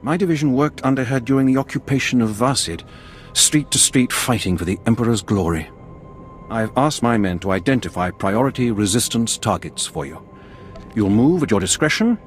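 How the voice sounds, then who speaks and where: Man playing a veteran colonel, low voice, formal, dutiful, sci-fi game, music underneath. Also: close to an everyday voice. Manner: brisk, formal military briefing. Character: dutiful Imperial army colonel, a seasoned war veteran. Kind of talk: dramatic video game acting. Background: background music.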